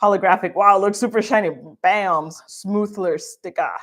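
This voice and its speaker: A woman's voice: medium pitch, steady tone, normal speed. A woman from Guatemala co-hosting a livestream, reading out viewer questions in a bubbly, laugh-filled way. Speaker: co-host.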